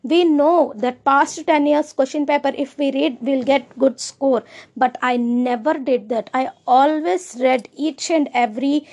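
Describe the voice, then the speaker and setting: A woman's voice: high-pitched, a little slow, a little mellow. A young woman with an Indian English accent, talking casually and earnestly, often restarting her sentences. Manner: earnest, rambling, with frequent restarts. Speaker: speaker sharing personal views. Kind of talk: casual monologue.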